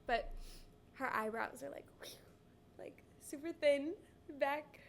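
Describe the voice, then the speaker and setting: A woman's voice: sits high, slow, vocal fry, a little hiss or hum. A young woman co-hosting a podcast, stuffy from a cold, chatting in a giggly, rambling way full of "like". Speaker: podcast co-host. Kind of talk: casual chat.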